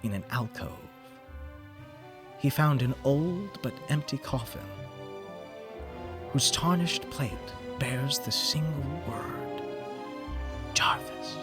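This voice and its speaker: A man narrating a gothic horror audiobook in the first person, with a theatrical, solemn, deliberate delivery. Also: mid-range voice, over music. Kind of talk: dramatic scripted narration of a gothic horror story.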